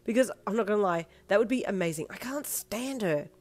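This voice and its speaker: A woman's voice: medium pitch, expressive, fairly quick, a little mellow, pitched above the performer's own voice, natural voice. A woman doing an eager young adult.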